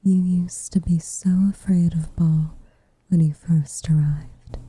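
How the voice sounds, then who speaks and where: Woman acting as a guarded tsundere witch, breathy whisper, very dark mellow tone, unhurried formal phrasing, tender, ASMR roleplay. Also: on the low side, fairly even intonation, heavily acted. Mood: tender, shy. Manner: measured, formal, hesitant phrasing.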